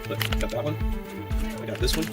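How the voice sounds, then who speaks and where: A man's voice: medium pitch, fast, over loud music. A middle-aged man and father, chatting upbeat as he explains a hands-on DIY project. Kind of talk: casual hands-on project narration.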